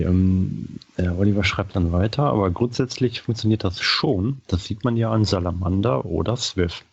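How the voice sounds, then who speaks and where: A man with a low, husky voice co-hosts a podcast. He explains and reads out notes, and the recording sounds a little dull and lo-fi. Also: dry recording. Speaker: podcast co-host. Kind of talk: podcast talk, partly reading out a written answer.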